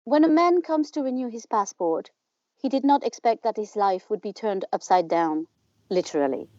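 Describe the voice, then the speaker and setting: A woman's voice: a little high, animated, normal speed, darkish tone, dry recording. A woman hosting a podcast, reading introductions and putting questions to a guest. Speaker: podcast host, interviewer. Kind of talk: scripted introduction and interview. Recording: dull, dry, band-limited sound.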